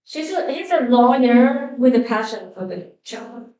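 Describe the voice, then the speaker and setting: A woman with a Chinese accent introducing fellow musicians onstage at a concert, halting and self-correcting with many fillers. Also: a little high, big pitch swings, mellow, dull audio. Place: concert hall. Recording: echoey hall, voice from a distance.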